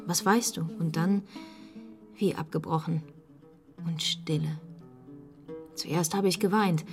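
A woman's voice: lowish voice, close to an everyday voice. An actress in a radio drama playing a hospitable, wistful widowed mother who speaks intimately and candidly.

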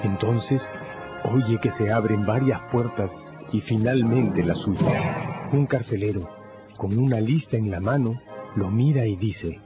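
Male narrator for a radio novel: lowish, grave, measured, muffled sound with music clearly behind. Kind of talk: scripted dramatic radio narration. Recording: dull radio recording with loud music. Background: loud music.

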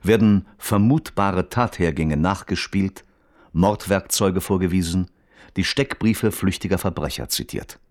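A man's voice: sits low, a little slow, darkish tone, dry recording, clean studio sound. A man playing a radio reporter who narrates a crime case in a formal, precise, matter-of-fact documentary style. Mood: sober, factual.

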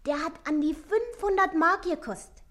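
An actress playing a pert, eager young daughter in a German radio play, with a childlike manner. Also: female voice, very high-pitched, normal speed, a bit bright. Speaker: actor.

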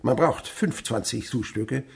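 A man's voice: medium pitch, a little slow, creaky, husky, exaggerated voice. An actor playing a miserly, callous old father in a German radio play, blunt, scornful and domineering. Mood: scornful, lecturing. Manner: blunt, scornful, lecturing, abrupt swings in delivery.

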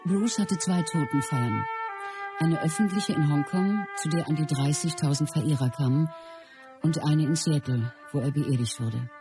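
An actress playing a widow in a radio drama, recounting her husband's death in a measured, sober way. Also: sits low, natural voice. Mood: sober, mournful. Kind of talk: scripted radio drama narration. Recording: music playing behind the voice.

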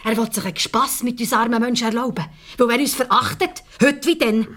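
An actress playing a disillusioned, hard-bitten farmer's wife in a radio play, frank and bitter, with a Swiss German accent. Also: female voice, fairly high pitch, fairly quick, a bit bright. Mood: bitter, scornful.

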